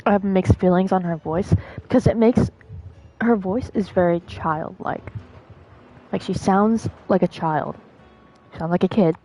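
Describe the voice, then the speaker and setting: A woman's voice: medium pitch, measured pace, warm tone, rough recording. A woman live streamer giving casual, rambling, blunt commentary over a game. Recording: faint game music behind.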